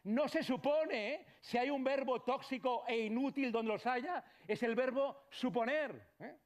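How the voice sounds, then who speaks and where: A middle-aged man from Barcelona giving a conversational lecture to doctors, often checking in with the audience and letting his voice trail off quietly. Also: very high voice, a little slow. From Barcelona, Spain. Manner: conversational, frequent tag questions, trails off quietly. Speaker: psychologist giving a talk. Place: lecture hall.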